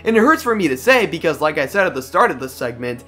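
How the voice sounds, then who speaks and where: Fast, high-pitched young man narrating. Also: big pitch swings, pushed and bright, soft background music. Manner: opinionated, informal, slangy. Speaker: video essayist. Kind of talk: scripted video essay narration.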